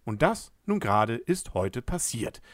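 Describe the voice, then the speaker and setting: A man voicing a calm male space companion who explains things in a teacherly tone, in a storybook reading. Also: mid-range voice, a little slow, dry recording, studio quality. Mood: lecturing.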